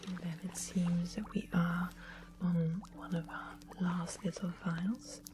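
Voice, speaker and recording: A woman's voice: lowish voice, level tone. Whispering sly, sweet witch played by a woman. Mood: softly informative. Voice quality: soft, breathy whisper. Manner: gentle, unhurried, politely formal. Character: sly, sweet-mannered witch of a fantasy kingdom. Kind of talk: whispered ASMR fantasy roleplay. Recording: clear background noise, ambient music.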